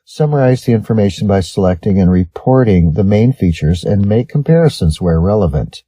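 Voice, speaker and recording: A man's voice: lowish voice, lively intonation, brisk, mellow, breathy edge, no room sound. A man with a Western American accent reading sample English essays aloud in a scripted lesson style. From Western United States. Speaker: English test-prep reader.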